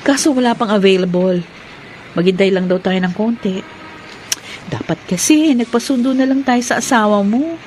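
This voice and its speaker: A woman's voice: mid-range voice, normal speed, a little mellow, slight background noise, natural voice, low-quality recording. An actress playing a guarded but good-natured young career woman in a radio drama. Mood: defensive, playful. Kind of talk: Filipino radio drama acting.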